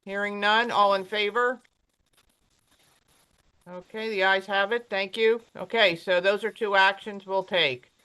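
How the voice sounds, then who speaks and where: A woman chairing a virtual commission meeting, brisk and procedural, with some fillers. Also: mid-range voice, steady tone, sharp tone, clear, resonant tone, poor audio.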